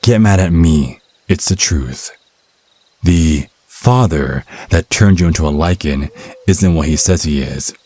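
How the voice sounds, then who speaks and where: A man's voice: extremely low pitch, measured pace, darkish tone, slight background noise. A man playing a smug, cunning vampire captive in an ASMR audio drama, taunting with sardonic mock politeness and teasing pet names. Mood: sardonic, explaining.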